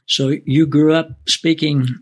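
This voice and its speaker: Husky-voiced man hosting a faith show. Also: fairly high pitch, level tone. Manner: folksy, drawn-out, warm asides. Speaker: host.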